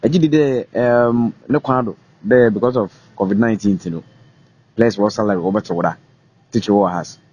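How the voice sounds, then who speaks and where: A man's voice: fairly low pitch, moderate pace, a little mellow, lo-fi sound, slight background noise. A man with a Ghanaian accent asking an interview guest questions in a plain, brief way.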